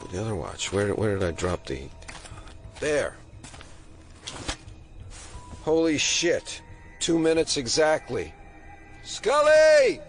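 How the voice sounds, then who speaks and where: An actor playing a dry, dogged FBI investigator in an audio drama, with wry, understated delivery. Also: male voice, mid-range voice, wildly swooping pitch, takes it slowly, long pauses, faint music behind, exaggerated voice. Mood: searching, alarmed.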